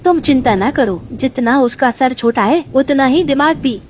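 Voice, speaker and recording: A woman's voice: high voice, moderate pace, a little hiss or hum, close to an everyday voice. Woman voicing a boastful, greedy cartoon crow. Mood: sly. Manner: boastful, gloating. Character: lazy, greedy, sly male crow. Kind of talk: Hindi cartoon story voice acting.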